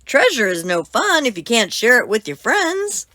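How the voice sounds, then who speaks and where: Woman as a high-pitched hearty toad pirate. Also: big pitch swings, normal speed, pushed and bright, no room sound, studio quality. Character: friendly grumpy-named toad pirate, generous and hearty.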